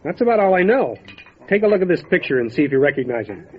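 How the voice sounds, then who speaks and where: An actor playing a calm, methodical small-town lawman with a serious, measured way of questioning, in old-time radio drama style. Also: fairly high pitch, muffled recording. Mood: serious, probing.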